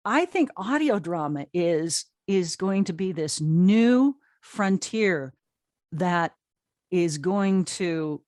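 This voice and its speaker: A woman with a fairly low voice speaks as an interview guest, chatting informally and with emphasis. She sounds enthusiastic, and the recording is dry with hardly any room sound. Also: darkish tone, dry recording. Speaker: interview guest, audio drama streaming co-founder. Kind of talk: conversational interview answers.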